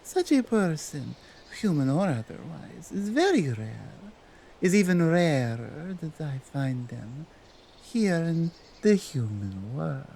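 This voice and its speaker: A woman playing a strong, dominant female Krampus in an ASMR roleplay, speaking in a deliberate, halting way with a Nordic accent. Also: deep voice, big pitch swings, creaky, warm tone, a little hiss or hum, heavily acted. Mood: earnest, deliberate. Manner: slow, deliberate, halting foreign-sounding phrasing. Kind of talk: ASMR roleplay monologue addressed to the listener.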